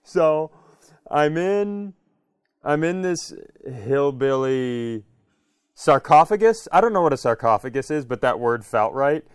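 A man's voice: a little high, animated, measured pace, smooth, dry recording, studio quality. A man co-hosting a comedy podcast, telling stories casually and riffing in a conversational way. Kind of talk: casual comic storytelling.

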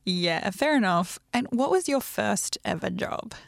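A woman's voice: mid-range voice, expressive, unhurried, vocal fry, no room sound, clean studio sound. A young woman from Australia hosting a podcast, chatty and curious. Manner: chatty, many "like" fillers. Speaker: podcast host. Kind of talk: conversational interview.

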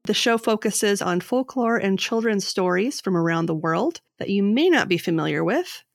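A woman in her thirties with a raspy, gravelly voice co-hosts a casual podcast. She reads her notes in a relaxed way, close on a dry mic. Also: mid-range voice, moderate pace, no room sound. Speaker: podcast co-host.

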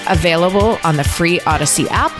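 A woman hosting a podcast reads a scripted promo. Her pitch sits a little low for a woman, and music plays clearly behind her. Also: fairly quick. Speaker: podcast host.

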